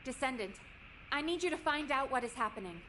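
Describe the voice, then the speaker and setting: A woman's voice: high-pitched, expressive, brisk, bright, forceful tone. A woman playing a worried, formal military operations officer in a video game, speaking earnestly and articulately. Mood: alarmed. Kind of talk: scripted video game dialogue. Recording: noisy game capture with ambient sound.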